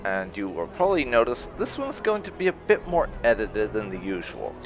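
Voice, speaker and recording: Male let's play host, lowish voice, very animated intonation, informal and rambling gamer commentary over faint music. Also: moderate pace. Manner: rambling, informal, with occasional swearing. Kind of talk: casual gameplay commentary.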